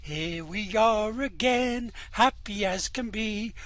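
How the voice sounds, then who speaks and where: Cheery sing-song computer announcer, man reading it, slow and upbeat. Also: high-pitched, fairly bright tone. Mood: chirpy. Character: chirpy male shipboard computer. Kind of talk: amateur radio play reading.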